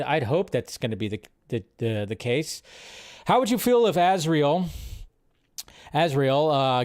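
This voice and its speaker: A man with a slightly high voice hosts a casual livestream, talking loosely with plenty of fillers and restarts. He reads viewer questions aloud and answers them in an offhand, chatty way. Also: darkish tone. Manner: rambling, filler-heavy, frequent restarts. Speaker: host of a film news livestream.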